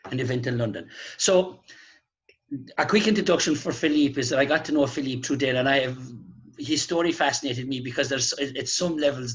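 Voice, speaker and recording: A man's voice: mid-range voice, fairly bright tone, husky, lo-fi sound. A man with an Irish accent hosting a casual live video chat, upbeat and jokey. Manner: upbeat, jokey, hearty welcoming. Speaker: host. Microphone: video call.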